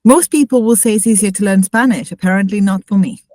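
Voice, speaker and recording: A woman's voice: medium pitch, fast talker, mellow, dry recording, studio quality. A woman from Israel with a mixed Israeli-tinged accent, co-hosting a podcast and chatting casually with many "like" fillers. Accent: Israeli-tinged, mixed English accent. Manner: rambling, with frequent "like" fillers. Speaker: podcast co-host. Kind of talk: casual conversation.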